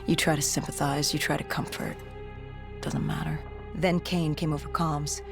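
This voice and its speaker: A woman's voice: low voice, little pitch movement, normal speed, creaky. Brooding female soldier over music. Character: weary determined female soldier recounting a zombie war. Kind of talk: dramatic first-person narration. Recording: music under the voice. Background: dramatic game soundtrack.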